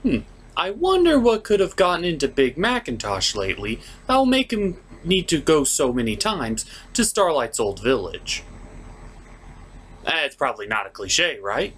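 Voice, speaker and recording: A man delivers a scripted, jokey commentary with theatrical sarcasm. His pitch jumps around in a lively way, and there is some faint noise in the background. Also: moderate pace. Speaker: host of a cartoon episode review.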